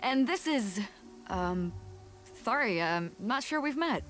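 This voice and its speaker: A woman's voice: a little high, expressive, takes it slowly, a bit bright, soft background music. A woman playing a bold, friendly seafaring captain in an anime game, with a jaunty, informal manner. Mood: breezy, friendly.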